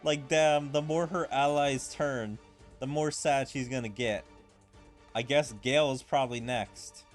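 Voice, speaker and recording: A man's voice: mid-range voice, fairly even intonation, measured pace, soft background music. A man giving casual let's-play commentary in a musing, informal way, with filler-heavy asides. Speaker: gaming video host. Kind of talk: casual let's-play commentary, reading game dialogue aloud.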